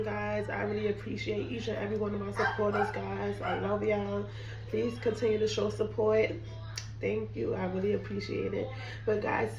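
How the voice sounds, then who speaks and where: Hoarse sick woman chatting in a kitchen. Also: medium pitch, darkish tone, muffled recording. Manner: chatty, apologetic, addresses viewers as "guys". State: sick, losing her voice, hoarse. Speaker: cooking vlogger. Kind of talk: casual chat to camera. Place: home kitchen. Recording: roomy echo, mic at a distance.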